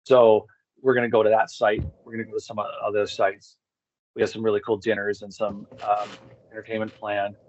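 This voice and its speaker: A man's voice: lowish voice, little pitch movement, fairly quick, a little hiss or hum. A man speaking as a guest on a video call, explaining things in a casual, conversational way. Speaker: podcast guest, expedition organizer. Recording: slightly dull lo-fi call audio.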